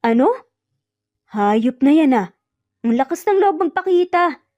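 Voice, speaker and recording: A woman's voice: high-pitched, animated, a little slow, mellow, no echo, clean studio sound. A woman voicing an angry, protective older father, stern and indignant, in an audio drama. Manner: indignant, blustering. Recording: muffled lo-fi audio, music bed behind.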